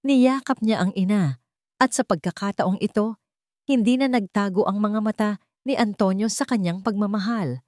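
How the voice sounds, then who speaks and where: A woman's voice: mid-range voice, moderate pace, a little mellow, no room sound, clean studio sound. A woman with a Filipino accent narrating a scripted dramatic story.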